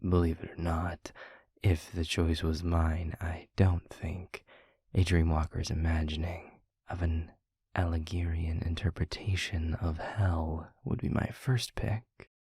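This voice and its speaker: A man playing a tender, eloquent demon lover in an intimate audio roleplay, with a breathy, husky, gravelly murmur and florid, deliberate phrasing. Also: sits low, little pitch movement, warm tone, no room sound, studio quality, heavily acted. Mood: tender, earnest.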